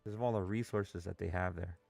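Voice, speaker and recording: A man's voice: low-pitched, fairly even intonation, fairly quick, vocal fry, a little mellow, dry recording, faint music behind. A man streaming live trades, talking casually, restless and impatient, swearing freely as he talks. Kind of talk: casual live trading commentary.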